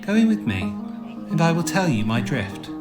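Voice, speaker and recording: A male actor plays a mischievous, confident prince in a Shakespearean radio play. His theatrical voice swings widely in pitch, with plucked string music behind him. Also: very animated, moderate pace. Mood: scheming delight. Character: playful matchmaking prince, scheming with mischievous confidence. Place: home recording.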